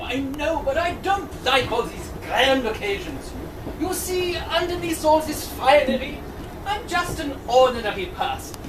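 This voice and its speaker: A woman's voice: high-pitched, lively intonation, unhurried. A woman playing an earnest, polite, exasperated young prince in an amateur pantomime, declaiming in a theatrical way. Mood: reluctant, pleading. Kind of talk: amateur pantomime stage acting. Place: amateur show in a pub, with audience. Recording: echoey noisy room, distant mic, audience.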